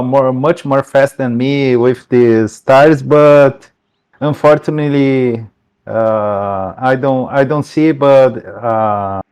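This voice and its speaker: Slow-speaking Brazilian man, halting English. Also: medium pitch, a little mellow, clean tone, poor audio. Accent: Brazilian Portuguese. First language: Portuguese. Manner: halting English, searches for words, laughs often. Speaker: race winner. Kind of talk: post-race interview. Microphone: headset over voice chat.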